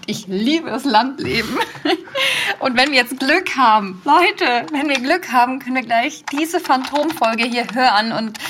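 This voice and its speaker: An actress playing an excitable young woman who hosts a podcast in an audio drama, sometimes dropping to a whisper. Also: fairly high pitch, background noise. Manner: excitable, whispering when tense. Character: curious young woman podcaster hunting a lost tape. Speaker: podcast host in a fiction podcast.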